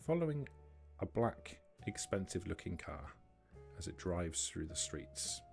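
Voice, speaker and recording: A man working as a game master, narrating a tabletop game scene in measured, atmospheric short phrases. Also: low-pitched, very animated, vocal fry, a little mellow. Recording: background music under voice.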